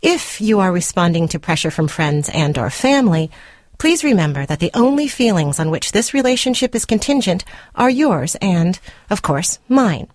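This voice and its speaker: A controlling, jilted girlfriend in a radio short story. Her lowish voice is officious and precise, coldly formal and condescending. The sound is close and dry, as in a studio. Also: big pitch swings, normal speed, darkish tone, no echo. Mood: officious, controlled.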